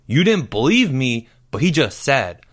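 A man's voice: mid-range voice, big pitch swings, measured pace. A man voicing a nervous, evasive schoolboy with a hesitant, stammering delivery. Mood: flustered, evasive.

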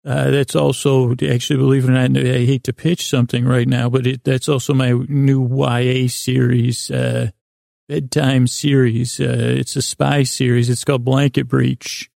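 A man hosting a sleep podcast, meandering through a halting, self-correcting bedtime monologue in a creaky voice. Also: mid-range voice, flat delivery, a little mellow, dry recording, clean studio sound.

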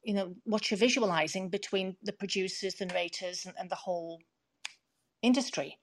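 A woman's voice: medium pitch, moderate pace. A woman in casual podcast conversation, speaking in a supportive, encouraging way.

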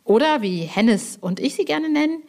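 A woman's voice: sits high, expressive, moderate pace, a little mellow. A woman presenting a podcast in a chatty, informal style, playful, effusive and colloquial. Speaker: podcast host.

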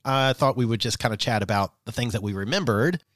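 A man co-hosting a podcast, chatting in a relaxed way, with frequent fillers and the odd swear. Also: mid-range voice, brisk, dry recording, studio quality. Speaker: podcast co-host. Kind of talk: casual chat.

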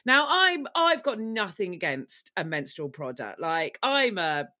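A woman's voice: lowish voice, very animated, measured pace, sharp tone. A young woman hosting a podcast, chatty and jokey, who laughs often. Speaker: podcast host. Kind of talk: casual interview chat.

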